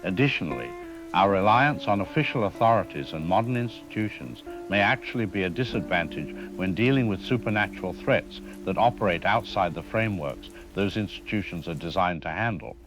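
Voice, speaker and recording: Very low-pitched male voice, acted grave folklore scholar, formal measured lecturing, radio drama, music underneath. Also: expressive. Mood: grave, warning. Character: grave male academic historian expert on vampire folklore. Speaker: expert interview guest.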